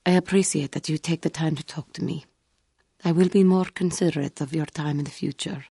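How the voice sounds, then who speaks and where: An actress playing a solemn, proud yet wounded female feline alien warrior monk in a video game, speaking in a formal, measured, guarded and earnest way. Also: low voice, fairly even intonation, vocal fry, warm tone, slightly rough, exaggerated voice. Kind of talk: video game character dialogue.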